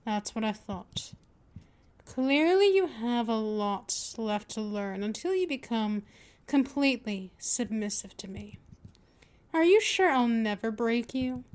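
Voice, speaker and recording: A woman playing a patient, possessive vampire mistress in an ASMR roleplay, with a deliberate, teasing, coaxing delivery. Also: on the high side, animated, darkish tone. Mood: stern, possessive. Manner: slow, deliberate, coaxing, with drawn-out pet names.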